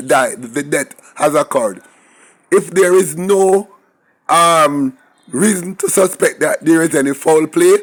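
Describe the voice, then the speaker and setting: A man hosting his own channel, hoarse and raspy from the flu, going over a crime story in a halting, self-correcting way. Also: on the high side. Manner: slow, halting delivery with restarts and fillers. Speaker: channel host. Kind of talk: crime news commentary.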